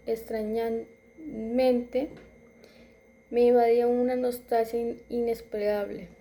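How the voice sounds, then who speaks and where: A woman in her twenties reading a personal story aloud, halting at times and correcting herself as she goes. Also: on the high side, vocal fry, mellow, a little hiss or hum. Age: 20s. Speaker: student.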